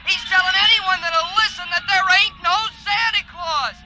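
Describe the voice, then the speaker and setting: An actor playing an agitated street patrolman in an old radio drama, urgently and loudly reporting by phone in slangy talk. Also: very high voice, steady tone, normal speed, a faint crowd, exaggerated voice. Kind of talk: 1950s radio drama acting. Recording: very noisy, sirens and street din.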